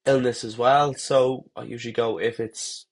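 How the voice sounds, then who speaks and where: A man with a head cold, congested and slightly husky, giving runners a relaxed, rambling talk-to-camera lesson with fillers and restarts. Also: medium pitch, moderate pace. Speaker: running coach.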